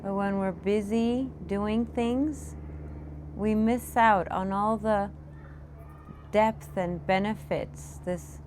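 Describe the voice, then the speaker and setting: A woman meditation teacher leading a guided meditation, giving gentle, unscripted instructions with pauses. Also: mid-range voice, steady tone. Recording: clear background noise, room ambience. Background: room ambience, children in the background.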